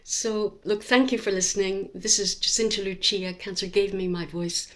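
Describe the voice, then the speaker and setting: A middle-aged woman, speaking in a reflective personal vlog about her illness, earnest and encouraging, pausing often with ums. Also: mid-range voice, fairly even intonation. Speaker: vlogger sharing her cancer journey. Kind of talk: reflective personal monologue to camera.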